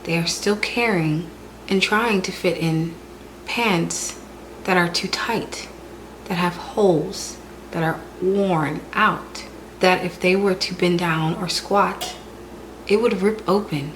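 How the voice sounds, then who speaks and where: Hoarse, stuffy woman reading tarot. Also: lowish voice, takes it slowly, slight background noise, rough recording. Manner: calm, repetitive, soft-spoken delivery. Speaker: tarot reader.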